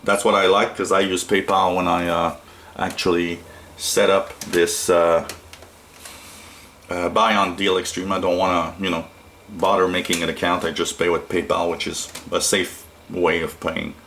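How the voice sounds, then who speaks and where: A man's voice: deep voice, flat delivery, moderate pace, a bit bright, a little hiss or hum. A man from Canada giving a casual, unscripted hobby product tutorial, talking in a hesitant, rambling way. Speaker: shortwave radio hobbyist presenting a product. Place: small indoor room at a radio desk.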